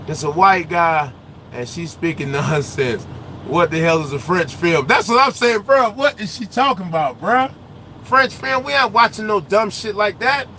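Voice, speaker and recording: A man who drives trucks, talking casually in his truck cab on the road, loud and animated with slangy trash talk. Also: high voice, a little hiss or hum. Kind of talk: casual ranting chat while driving.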